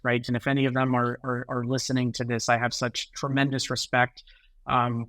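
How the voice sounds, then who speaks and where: A man's voice: mid-range voice, fairly bright tone, no room sound, poor audio. American man, dry close-miked podcast guest. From United States. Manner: conversational, repeats words and tags sentences with "right?". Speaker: guest, sales executive. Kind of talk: podcast interview answers.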